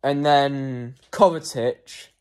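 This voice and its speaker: A man's voice: mid-range voice, steady tone, drawn-out words, darkish tone, smooth. A young man giving casual post-match player ratings as a football fan, hesitant with frequent ums and self-corrections.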